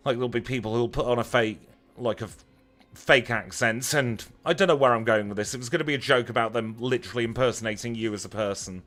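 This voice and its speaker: A man's voice: medium pitch, quick pace, few pauses, a bit bright. Chatty Lancashire man livestreaming over music. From Lancashire, northern England. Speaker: live streamer playing a video game. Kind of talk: casual livestream chat over gameplay.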